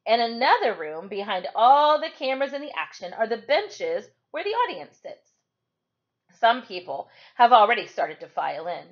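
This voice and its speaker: A woman reading a novel aloud like a teacher at storytime, with animated, emphatic delivery. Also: medium pitch, dull audio. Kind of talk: expressive storytime read-aloud.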